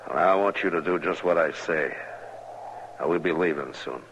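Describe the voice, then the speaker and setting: An actor playing a steady, laconic, quietly merciful frontier marshal in a 1950s radio western, terse and commanding. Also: male voice, a bass voice, moderate pace. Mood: firm, commanding. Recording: dull, noisy old radio recording.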